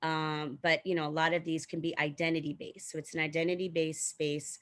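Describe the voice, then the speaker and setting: A woman's voice: deep voice, flat delivery, a little fast, fairly bright tone. A woman guest explaining things in casual conversation as a teacher would, thinking aloud as she goes. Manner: thinks aloud, frequent "like" and "you know". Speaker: guest, teacher and diversity consultant.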